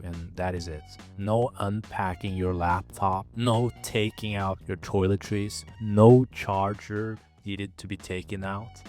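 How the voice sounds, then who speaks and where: A fitness coach talking straight to camera in a scripted video, direct with a dry, joking manner. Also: male voice, on the low side.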